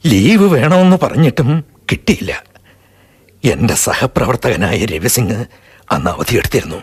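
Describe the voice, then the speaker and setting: An older man narrating and acting a radio drama in a measured storytelling way. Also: mid-range voice, very animated, slightly rough. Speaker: narrator and stationmaster figure.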